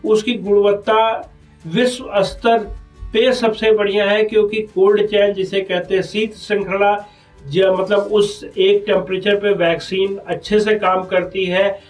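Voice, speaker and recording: A man's voice: sits high, far from the mic, rough recording. A man with a North Indian accent speaking as a doctor being interviewed, explaining health matters earnestly and persuasively, often repeating phrases.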